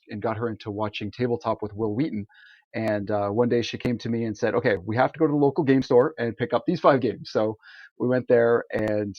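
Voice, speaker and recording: A man's voice: on the low side, dry recording, lo-fi sound. A man speaking casually as a guest on a podcast about his hobby. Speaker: podcast guest, board game designer. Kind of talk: casual chat.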